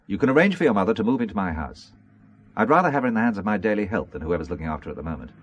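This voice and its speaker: An actor playing a shrewd, wry, commanding investigator in a radio spy drama, with a dry, controlled delivery. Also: male voice, on the low side, big pitch swings, very fast, muffled recording, a little hiss or hum. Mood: firm, wry.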